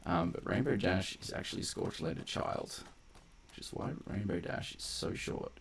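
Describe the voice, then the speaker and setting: A man's voice: medium pitch, big pitch swings, moderate pace, gravelly, slight background noise. A man giving casual, unscripted gameplay commentary, rambling off on tangents as he explains game mechanics in detail. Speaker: game streamer and narrator of a playthrough.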